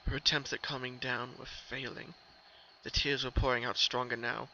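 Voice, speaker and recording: A man's voice: mid-range voice, little pitch movement, normal speed, lo-fi sound. A man giving a dramatic scripted narration of a fan fiction story as the reader. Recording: very loud background noise.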